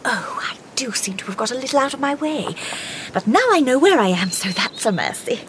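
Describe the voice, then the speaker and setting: An actress playing a composed, shrewd Victorian lady detective in a radio drama, polite and quietly ironic. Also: fairly high pitch, lively intonation, brisk, background noise, close to an everyday voice.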